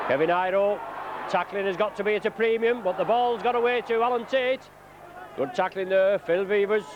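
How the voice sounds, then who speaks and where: Bloke calling rugby, bit croaky, high-ish voice, dull hissy old tape. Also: male voice. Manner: measured play-by-play with frequent fillers. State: croaky from flu. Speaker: rugby league commentator. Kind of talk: live match commentary. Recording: dull lo-fi broadcast tape with noise.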